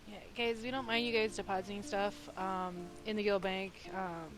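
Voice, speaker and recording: A woman's voice: medium pitch, steady tone, normal speed, music in the background. Practical woman giving guild bank news. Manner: plain, practical, with fillers. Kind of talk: casual voice chat announcement.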